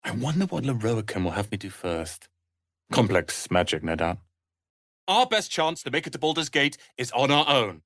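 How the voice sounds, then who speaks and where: A man's voice: medium pitch, very animated, normal speed, a bit bright, no room sound, studio quality. An actor playing a haughty, prickly young male wizard's apprentice in a fantasy video game, with clipped, theatrical delivery. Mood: proud, determined.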